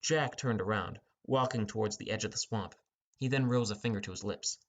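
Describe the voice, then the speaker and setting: A man's voice: lowish voice, brisk. A man narrating a scripted first-person fantasy adventure as an audiobook.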